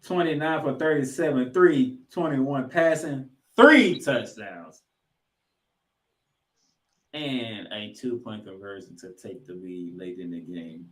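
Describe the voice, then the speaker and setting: Guy on a football podcast, roomy echo, mid pitch, stretches out the big numbers for emphasis. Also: expressive, takes it slowly, fairly bright tone. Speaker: sports podcast co-host. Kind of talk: football recap commentary with stat read-outs. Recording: echoey, roomy sound.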